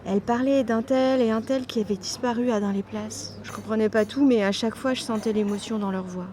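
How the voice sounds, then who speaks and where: A woman plays a curious character in an audio drama, talking naturally and thoughtfully. The voice is fairly high and mellow. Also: steady tone, brisk, lightly acted. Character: curious, thoughtful woman remembering her grandmother. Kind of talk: French audio drama dialogue.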